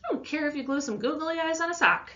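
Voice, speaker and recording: A woman's voice: sits high, lively intonation, fast talker, sharp tone, a little hiss or hum. A woman teacher giving an informal voice-over lesson, explaining an assignment in a loosely worded, conversational way. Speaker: science teacher. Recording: distant mic, slightly muffled sound.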